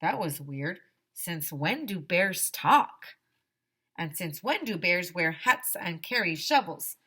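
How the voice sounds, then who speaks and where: A woman teacher reading a children's story aloud in an expressive, emphatic way. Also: fairly low pitch, slow, husky.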